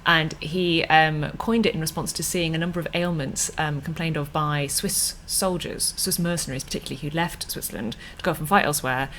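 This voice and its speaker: Low-voiced English woman, chatty co-host. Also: steady tone, a little fast, hardly any pauses, fairly bright tone, slight background noise. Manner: chatty, playful, many fillers. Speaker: podcast co-host. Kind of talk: casual chat.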